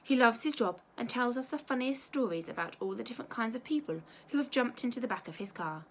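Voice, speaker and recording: A woman teacher reading a children's storybook aloud in an expressive, measured storytelling way. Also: fairly high pitch, slight background noise, rough recording.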